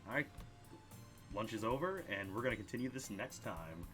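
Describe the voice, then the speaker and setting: A man hosting a video game playthrough, reading dialogue aloud in an animated way, stumbling over words and adding his own side comments. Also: fairly low pitch, normal speed, faint music behind. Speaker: let's play host.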